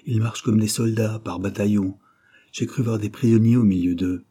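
A man's voice: lowish voice, fairly even intonation, normal speed, mellow, breathy edge. A man voicing an alarmed messenger in a storybook, reporting urgently. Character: alarmed male messenger reporting bad news.

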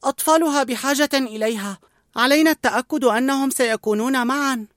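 A storyteller voicing an earnest, excitable young boy scout who speaks in a pleading, eager way in a storybook reading. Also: high-pitched, quick pace, no echo, clean studio sound, pitched above the performer's own voice.

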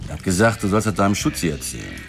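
Low-voiced, teasing, slangy barman buddy. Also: very animated, quick pace, gravelly, full character voice. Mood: chatty, frank. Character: laid-back, teasing male bar-owner buddy, slangy. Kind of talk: German radio drama acting. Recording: music behind the voice.

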